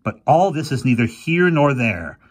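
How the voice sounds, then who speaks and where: Grumbling old professor for a storybook reading, man voicing, husky and gruff. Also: mid-range voice, sing-song, normal speed, pitched above the performer's own voice. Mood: determined. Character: gruff elderly male professor, crusty and self-blaming.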